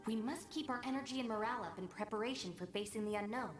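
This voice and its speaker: A woman's voice: fairly high pitch, fast, recorded from a distance, faint music behind, natural voice. An actress voicing an earnest, upbeat young female legal adviser in a video game. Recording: echoey game audio from afar.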